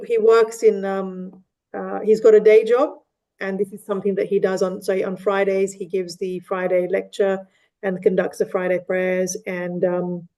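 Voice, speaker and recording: A woman speaking in a relaxed online discussion, hesitant with many fillers and restarts. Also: medium pitch. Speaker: mosque community member. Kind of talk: casual discussion. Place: online meeting.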